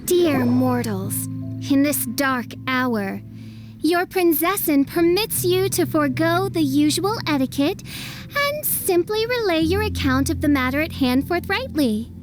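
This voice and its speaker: A woman's voice: high-pitched, lively intonation, a little slow, music in the background. A woman voicing a haughty young self-styled princess of darkness in an anime game, pompous and grandiose with archaic royal diction. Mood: regal. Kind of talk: anime video game voice acting.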